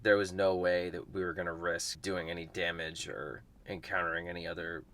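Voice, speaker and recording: A man's voice: sits low, level tone, fairly quick. A man vlogging casually from inside a moving vehicle, rambling loosely and swearing offhandedly. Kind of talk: casual on-the-road chat to camera. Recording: loud road and engine noise. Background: car noise.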